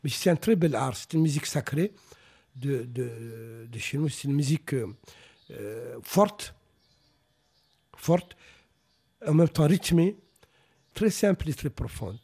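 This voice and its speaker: A Mauritanian novelist, whose first language is Arabic, answers interview questions in a thoughtful way, often pausing and restarting his sentences. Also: medium pitch, lively intonation, mellow, slightly rough. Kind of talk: reflective radio interview answers.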